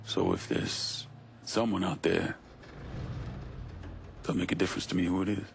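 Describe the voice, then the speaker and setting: An actor playing a stoic, weary, hardened criminal in a video game, laconic and subdued with a gravelly voice. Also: male voice, fairly even intonation, moderate pace, slight background noise. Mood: weary, resigned. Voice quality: deep, gravelly. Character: stoic, weary, hardened male crime avenger.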